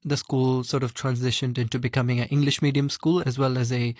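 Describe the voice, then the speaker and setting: A young man from Hong Kong, a guest answering interview questions in an earnest, measured way. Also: mid-range voice, flat delivery, dry recording, studio quality. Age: young adult.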